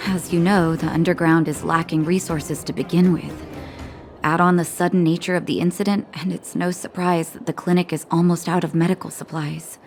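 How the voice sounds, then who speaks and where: Woman playing a low-voiced, soothing clinic doctor. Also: flat delivery, a little mellow, lightly acted. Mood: concerned. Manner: soothing, measured. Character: caring, unflappable woman doctor of a mining-town clinic. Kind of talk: video game voice acting. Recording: faint music behind the voice.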